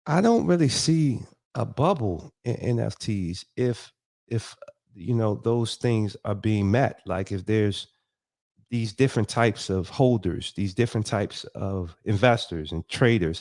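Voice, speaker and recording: A man's voice: fairly low pitch, darkish tone. A man with a stuffy nose from a cold, talking loosely through his thoughts with frequent ums and restarts as he comments on and reads out a news article. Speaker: host of a crypto commentary show. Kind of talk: casual commentary, reading a news article aloud.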